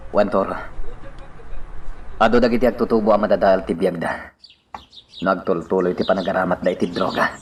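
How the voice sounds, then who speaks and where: A man's voice: sits low, level tone, fairly quick, pauses often, slight background noise, natural voice. A man playing an earnest former soldier and concerned friend in an Ilocano radio drama.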